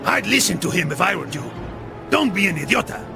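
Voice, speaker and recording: Very gravelly Spanish rogue. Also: male voice, sits high, very animated, a little fast, music in the background, full character voice. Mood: cocky warning. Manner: sardonic, flippant. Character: wisecracking, greedy Spanish rogue mercenary. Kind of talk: dramatic video game acting.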